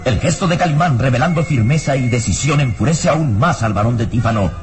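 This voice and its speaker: A man's voice: medium pitch, lively intonation, brisk. A man narrating a vintage radio drama in a declamatory, suspenseful scripted style. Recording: vintage radio broadcast under loud music. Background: loud dramatic music.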